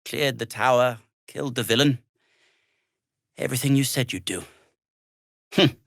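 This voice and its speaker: An actor playing an earnest, fussy, melancholy gnome inventor in a video game, with a hesitant, wordy and old-fashioned polite way of talking. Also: male voice, mid-range voice, steady tone, moderate pace, vocal fry, fairly bright tone, no room sound, clean studio sound. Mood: wry, polite. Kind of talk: video game character dialogue.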